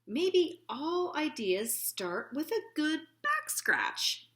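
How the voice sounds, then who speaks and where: Storybook bear, female reader performing: earnest, kindly, unhurried, with lively swings in pitch. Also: heavily acted. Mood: musing. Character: gentle helpful bear, earnest would-be storyteller. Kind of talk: children's storybook character reading.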